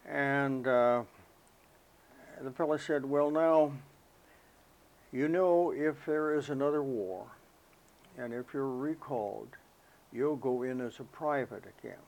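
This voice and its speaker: An elderly American man recalling his life as a veteran in an interview, speaking in a halting, reflective, unhurried way. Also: medium pitch, darkish tone, rough recording. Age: elderly, 80s or older. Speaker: interviewee, war veteran recalling military service. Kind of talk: oral history interview, personal recollection.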